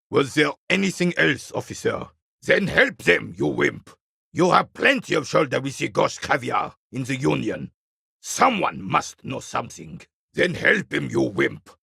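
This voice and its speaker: A proud, embittered old soldier from a video game. His voice is heavily creaky and husky, with curt, scornful delivery that rises and falls in animated swings. He sounds dismissive and full of contempt for weaklings. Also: medium pitch, no room sound, clean studio sound, full character voice. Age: older. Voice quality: gravelly, raspy. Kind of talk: video game character dialogue.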